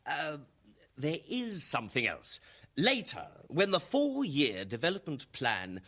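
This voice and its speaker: An actor playing a stern, menacing colonel who runs a regime's security, pompous and emphatic, in a satirical radio drama. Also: male voice, a little high, huge pitch swings, measured pace, pushed and bright, slightly rough, heavily acted. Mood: officious.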